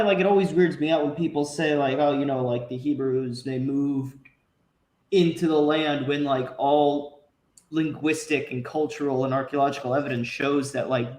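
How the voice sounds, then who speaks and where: A man's voice: fairly high pitch, darkish tone. A young man talking animatedly in a casual podcast discussion over a video call, full of "like" and "I mean" fillers. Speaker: podcast panelist. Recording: roomy video call audio.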